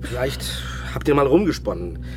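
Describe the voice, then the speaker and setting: An actor playing a matter-of-fact, evasive defence lawyer in a German radio crime drama. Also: male voice, medium pitch, sing-song, normal speed, warm tone, a little hiss or hum, natural voice. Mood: calculating.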